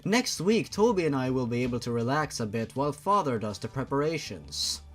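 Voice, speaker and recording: Earnest, eager young female storybook character, played by a man, animated delivery with music underneath. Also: mid-range voice, a little fast, lightly acted. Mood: earnest, reassuring.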